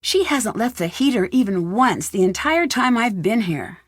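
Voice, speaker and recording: A woman's voice: fairly high pitch, lively intonation, moderate pace, dry recording, studio quality. A woman playing a curious, polite young female detective in a video game, asking scripted, inquisitive questions. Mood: concerned. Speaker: game protagonist, investigator. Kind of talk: video game character dialogue.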